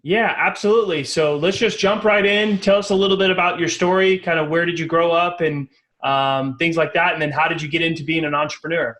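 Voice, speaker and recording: Man hosting a relaxed podcast interview. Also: a little high, a little fast. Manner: loose, rambling questions with restarts. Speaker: podcast host and company founder.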